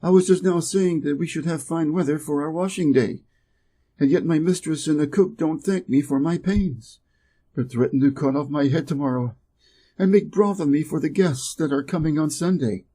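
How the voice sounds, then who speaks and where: A man's voice: a little high, normal speed, warm tone, breathy edge, pitched above the performer's own voice. A man with an American accent voicing a proud, noisy farmyard rooster in a fairy tale, in a declamatory way. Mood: aggrieved. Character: proud noisy farmyard rooster, indignant about ungrateful mistress.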